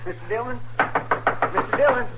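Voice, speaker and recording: A man playing an anxious, deferential western deputy in a 1950s radio drama, with an urgent, slightly halting delivery. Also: very high-pitched. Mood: urgent, worried. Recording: very noisy vintage radio recording.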